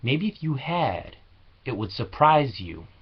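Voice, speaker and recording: A man reciting slam poetry with earnest, deliberate, dramatic phrasing, on a low-quality home recording. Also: big pitch swings, husky, highs cut off. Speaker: slam poet.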